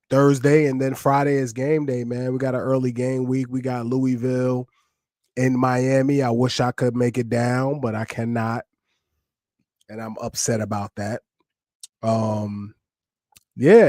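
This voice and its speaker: A man's voice: mid-range voice, darkish tone, no echo, studio quality. A man hosting a live podcast in laid-back, slangy casual chat, sounding nasal and congested from a sinus infection. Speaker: podcast host.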